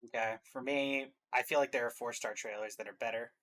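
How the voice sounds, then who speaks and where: Casting: man, average pitch, reaction-stream co-host, informal banter with a dry teasing edge. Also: fairly even intonation, a little fast, a bit bright. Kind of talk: casual reaction commentary and banter.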